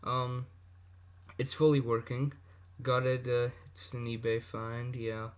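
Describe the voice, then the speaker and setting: A man's voice: medium pitch, little pitch movement, takes it slowly. A man giving a casual, unscripted show-and-tell as a hobbyist presenter, rambling off the cuff with asides and self-corrections. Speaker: hobbyist video presenter showing vintage electronics. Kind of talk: casual unscripted product demonstration. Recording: noisy amateur recording with clicks.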